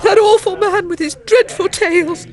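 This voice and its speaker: An actress playing an anxious, distressed innkeeper's wife, fretful and urgent, in a radio drama. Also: female voice, very high-pitched, moderate pace. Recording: crowd of voices behind.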